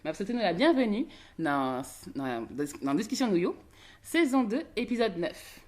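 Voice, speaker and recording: A woman's voice: medium pitch, very animated, normal speed, darkish tone. A woman hosting a casual interview podcast, asking questions with many hesitations. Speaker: podcast host.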